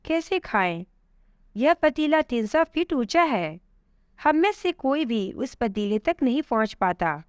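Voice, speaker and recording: A woman's voice: high-pitched, very animated, slow pace, smooth, dry recording, clean studio sound, exaggerated voice. A woman voicing a miserable, starving man in hell, despairing and complaining in a storybook tale. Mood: tearful despair.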